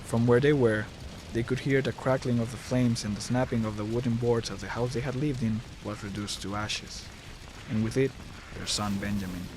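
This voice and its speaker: A man's voice: medium pitch, steady tone, normal speed, noisy background. A man delivering scripted, dramatic narration for a horror audio drama, close on the mic.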